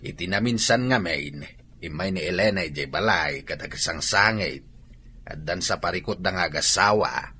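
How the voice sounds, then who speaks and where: An actor playing a concerned, animated older father-in-law in a radio drama. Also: sits low, moderate pace, breathy edge, full character voice. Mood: friendly. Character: concerned, animated father-in-law talking over family trouble. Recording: background noise and ambience.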